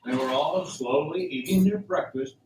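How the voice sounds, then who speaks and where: Male narrator for radio drama, fairly high voice, scripted read, off-mic with faint noise. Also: moderate pace, darkish tone. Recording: roomy, distant, faint background noise.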